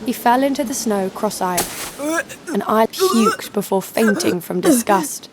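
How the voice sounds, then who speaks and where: A woman's voice: fairly high pitch, very animated, measured pace, heavy background noise. A woman playing a tough, sardonic knife fighter who tells her own story in an audio drama. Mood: dry, tense. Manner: dry, sardonic. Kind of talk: scripted first-person audio drama narration. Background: music.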